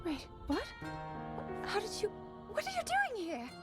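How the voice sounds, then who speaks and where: Startled young princess, very high voice, lively swoops, flustered, game cutscene, loud music. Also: female voice, normal speed, exaggerated voice. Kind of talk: dramatic video game cutscene acting.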